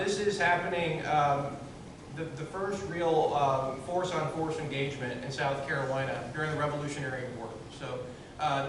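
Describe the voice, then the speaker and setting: A loud, chatty man lectures to a crowd, his voice sitting a bit high. He is heard from far off in a very echoey room, with steady noise underneath. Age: 30s. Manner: loud, chatty, many fillers and restarts. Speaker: guest lecturer, historian. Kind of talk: public history lecture. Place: large echoey room, in front of an audience.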